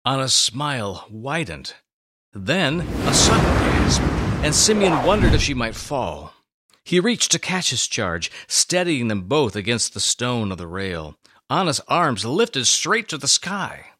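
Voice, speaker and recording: A man narrating a scripted dramatic story with deliberate phrasing and dramatic pauses. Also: medium pitch, sing-song, a bit bright, no echo.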